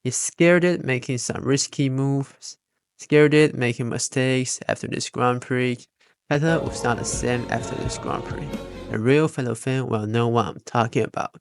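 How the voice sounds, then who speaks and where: A man's voice: mid-range voice, moderate pace, gravelly, soft background music. A man reading scripted narration as a fan commentator, with non-native phrasing and grammar slips.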